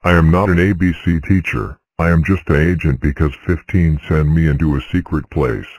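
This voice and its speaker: Deep-voiced man voicing cartoon numbers. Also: fairly even intonation, normal speed, a little mellow, dry recording, muffled recording. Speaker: voice of number characters. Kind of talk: fan cartoon voice-over.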